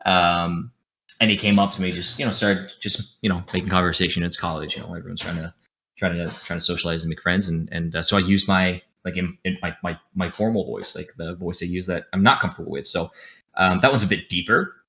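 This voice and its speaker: Young man guest, rambling storyteller, bright forward tone, lowish voice, headset at home. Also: fairly even intonation, a little fast, slight background noise. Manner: rambling, self-deprecating, often restarts sentences. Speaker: podcast guest, streamer. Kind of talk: casual storytelling on a video call. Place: home room with gaming chair.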